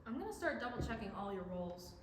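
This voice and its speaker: A woman's voice: a little high, very animated, fast talker. A young woman playing a skeptical, stubborn college biology student in a staged play reading, sardonic and challenging. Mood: dry, guarded. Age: young adult. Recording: echoey hall, long reverb, background noise.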